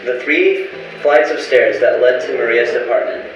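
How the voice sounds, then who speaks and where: A man's voice: mid-range voice, moderate pace, a bit bright. A man narrating a scripted story in the style of an old-time radio play. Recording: very echoey, distant, muffled, noisy.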